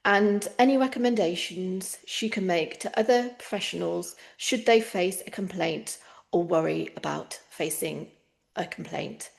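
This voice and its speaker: A woman hosts a podcast interview in a slightly low, gentle voice. She pauses often and fills the gaps with soft ums. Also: vocal fry. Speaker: podcast host.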